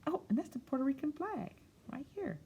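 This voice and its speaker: A woman reading a picture book aloud for children, stretching key words expressively and pausing for soft explanatory asides. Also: sits high, sing-song, creaky, dull audio. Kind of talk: read-aloud story time with explanatory asides.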